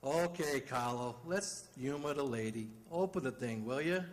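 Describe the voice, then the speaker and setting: A man's voice: mid-range voice, moderate pace, lightly acted. Guy playing an old radio cop, dry and teasing, not bothered, very echoey with some faint hiss. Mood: dryly amused. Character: unruffled, teasing male police inspector. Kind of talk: old-time radio drama acting. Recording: echoey hall-like radio drama, faint noise.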